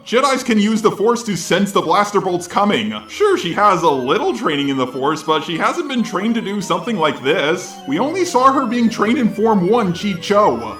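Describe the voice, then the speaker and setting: A male robot voice, pitched a little high, narrates a scripted review in an informal, sarcastic way. The synthetic sound carries a clear echo, with faint music underneath. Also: a bit bright. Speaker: video reviewer.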